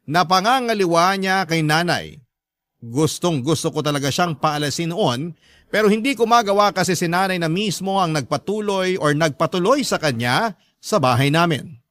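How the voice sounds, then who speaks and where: A performer voicing a hurt, resentful young adult daughter, narrating a first-person letter in an earnest, emotional, deliberate way. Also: mid-range voice, fairly bright tone, no echo.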